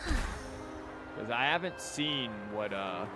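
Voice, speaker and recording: Male streamer, casual rambling gameplay commentary, medium pitch, prominent music behind him. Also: wildly swooping pitch, drawn-out words. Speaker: game streamer. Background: game music.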